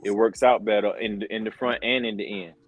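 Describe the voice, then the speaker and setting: A man's voice: lowish voice, steady tone, a little fast, narrow, tinny audio. A man in his forties, a podcast guest, chatting casually and telling stories with many fillers and repeated words. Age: 40s. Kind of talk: casual chat.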